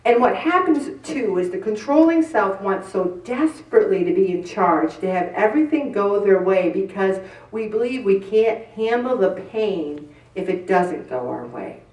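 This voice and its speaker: A woman's voice: mid-range voice, animated, normal speed, darkish tone. A middle-aged woman giving a candid, self-deprecating faith-based talk to a group, acting out her own inner voices. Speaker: group speaker. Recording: roomy echo from some distance.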